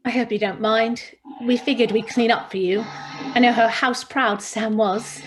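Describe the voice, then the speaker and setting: A woman's voice: on the high side, fairly even intonation, normal speed, natural voice. A woman playing a considerate, practical friend among survivors in a table-read drama. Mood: tentative, caring. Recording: faintly noisy home recording with ambience.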